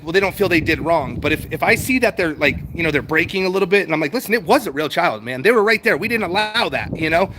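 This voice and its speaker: A man's voice: sits high, noisy background. A middle-aged American man hosting a casual livestream, talking in a rambling, repetitive, conversational way with some swearing. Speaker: livestream host answering viewer chat. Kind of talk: casual unscripted chat, reading out and answering comments.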